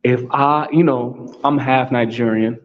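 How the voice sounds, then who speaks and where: A man's voice: mid-range voice, steady tone, measured pace, highs cut off, a little hiss or hum. An American man hosting his own talk show, speaking in a solo monologue and driving his points home by saying them again and again. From United States. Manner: repetitive, emphatic, restating points.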